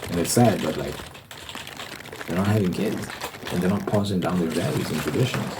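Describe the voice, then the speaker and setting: Very low-voiced man rambling in ASMR. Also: normal speed, heavy background noise. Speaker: ASMR host. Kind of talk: ASMR rambling monologue, opinionated.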